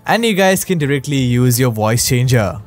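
A man's voice: medium pitch, animated, moderate pace. A man hosting a scripted tutorial and review as a YouTube narrator. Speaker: YouTube host. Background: faint music.

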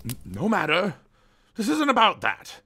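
Dramatic old gnome narrator for a cartoon, forceful and super animated, kinda obsessive. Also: male voice, sits high, measured pace, husky, heavily acted. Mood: theatrical, conspiratorial. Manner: grandiose, dramatic, storyteller phrasing. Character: theatrical, eccentric old male gnome narrator, cursed and obsessive. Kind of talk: comic dub character narration.

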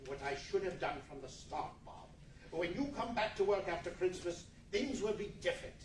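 A man's voice: high voice, animated, moderate pace. A man acting a reformed old miser in a 1940s-style radio play, effusive, generous and repentant in a theatrical manner. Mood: excited, generous. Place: large indoor meeting room, live stage reading. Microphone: far camera. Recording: echoey meeting room from far off, noisy.

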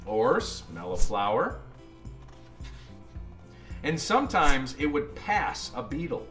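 Animated, slow-paced man reading a storybook. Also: mid-range voice, reverberant, over music. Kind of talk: children's picture book read-aloud.

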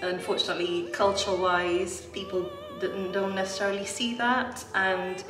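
A woman in her thirties whose first language is Urdu, a singer talking about her part in an interview, in a reflective way with soft fillers. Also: mid-range voice, fairly even intonation, normal speed. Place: small room with a poster. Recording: roomy sound from a distance, music behind.

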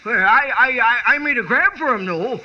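An actor playing a bumbling, apologetic old rustic, stammering and folksy in 1940s radio style. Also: male voice, very high-pitched, animated, brisk, lo-fi sound. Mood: flustered, apologetic. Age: older.

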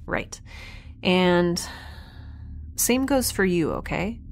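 A woman's voice: fairly low pitch, lively intonation, extremely slow, gravelly, full character voice. A woman playing an earnest, chatty young spaceship resident with a hesitant conversational manner in a science fiction audio drama. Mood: earnest insistence.

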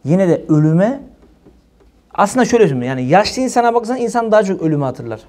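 A man gives an informal religious sermon in a colloquial, animated way, asking rhetorical questions. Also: sits high, fast. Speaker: preacher. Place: small room indoors.

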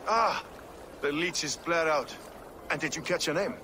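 An actor playing a rough, sardonic medieval fixer in a video game, gruff, wry and world-weary. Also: male voice, on the high side, measured pace, noisy background, natural voice. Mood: shocked disbelief. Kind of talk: video game cutscene acting.

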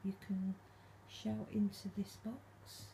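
A woman vlogging at night from her dark bedroom, speaking softly and calling out to spirits, her voice a little stuffed up from hay fever. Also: medium pitch, level tone, takes it slowly, vocal fry, slight background noise, low-quality recording. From England. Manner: hushed, soft-spoken, calling out to spirits. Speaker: paranormal vlogger. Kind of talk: quiet late-night ghost hunting vlog.

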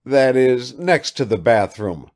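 A man's voice: medium pitch, expressive, slow, a little mellow, voice pushed up. A man reading aloud and voicing an eager, garrulous, good-natured old country father who chatters too much.

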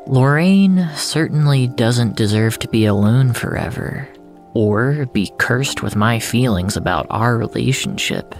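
A man's voice: medium pitch, animated, measured pace, soft background music. An actor playing a depressed, regretful man who narrates his own story in a brooding, confessional manner, as in a scripted audio drama. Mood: glum, resigned.

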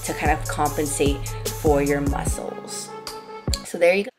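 Woman in her twenties, fitness vlogger, low-ish voice, upbeat gym walkthrough, music underneath. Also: a little slow. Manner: upbeat, explanatory, relaxed. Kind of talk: casual workout walkthrough.

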